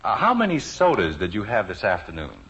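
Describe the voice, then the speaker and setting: A man's voice: lowish voice, wildly swooping pitch, a little fast. An American man acting a patient, dry, hesitant middle-aged father in a 1950s radio sitcom. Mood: gently scolding. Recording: dull old radio recording, faint noise.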